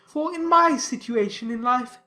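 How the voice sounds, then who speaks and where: A woman's voice: high-pitched, unhurried, pushed and bright, soft background music. A woman voicing a self-important, obsequious young man in a dramatized audiobook, with pompous, formal phrasing. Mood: pompous, eager.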